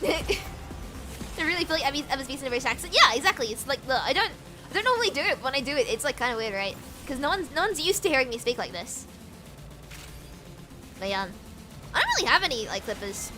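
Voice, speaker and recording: A woman's voice: high-pitched, big pitch swings, fast, long pauses, sharp tone, faint music behind. A young woman streaming a game in casual chat, bubbly and giggly, rambling and repeating her words, switching between British and American accents. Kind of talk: casual chat while gaming.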